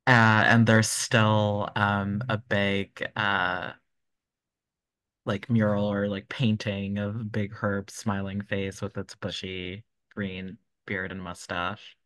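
A man improvising a scene as a tabletop game player, pausing to think. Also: fairly low pitch, flat delivery, fairly bright tone, dry recording. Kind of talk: casual improvised scene description.

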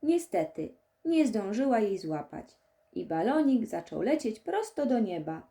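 A woman narrates a scripted story for young children. Her voice is mellow and sits at a middle pitch, and her delivery is expressive, with lively swings in intonation. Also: a little slow. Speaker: audiobook narrator.

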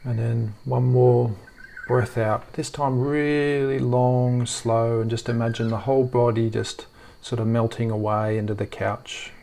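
A man leading a guided meditation in a soft, soothing, gently reassuring way. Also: medium pitch, level tone, normal speed, gravelly, few pauses, a little hiss or hum.

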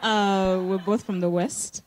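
A young woman, a guest on a talk show, speaking softly and warmly into a handheld mic with some hesitant fillers. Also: medium pitch, steady tone, takes it slowly, noisy background. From Uganda. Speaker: musician guest. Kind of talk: interview chat. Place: TV studio set.

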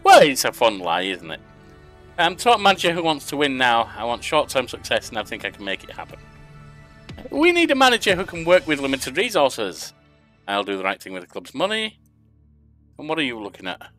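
Older man, bright voice, game commentary. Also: mid-range voice, wildly swooping pitch, a little fast, faint music behind. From England. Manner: reads interview questions aloud and answers them himself. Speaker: gaming YouTuber. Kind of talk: casual let's-play commentary. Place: home room at a desk.

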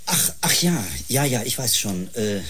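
An actor playing a courteous, placating professor who leads a space project, in a science-fiction radio drama. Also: male voice, mid-range voice, animated, fairly bright tone, husky. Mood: polite, placating. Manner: polite, measured, placating.

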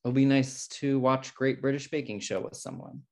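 A man's voice: mid-range voice, fairly even intonation, moderate pace, darkish tone, natural voice. A man playing a fussy, tidy, exasperated brother in a comedy table read. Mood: nervous. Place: indoor room on a video call. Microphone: video call.

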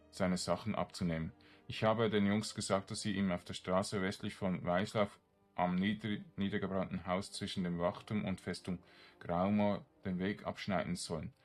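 A man streaming a game, commenting informally to himself and his viewers and reading out game text. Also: low-pitched. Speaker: gaming streamer, let's player. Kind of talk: casual game commentary with some read-aloud game text.

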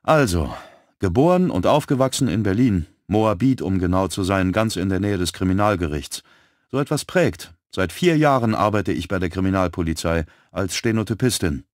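A man voicing a brisk, frank young woman who works as a police typist. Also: deep voice, expressive, no room sound, clean studio sound. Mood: lively.

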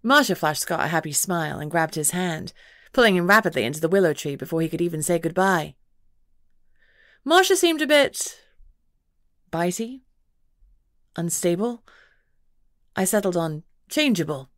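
A woman with a fairly low voice reads first-person fantasy fiction as an audiobook narrator. The recording is very dry, with no room around her. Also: big pitch swings, normal speed, long pauses, no room sound.

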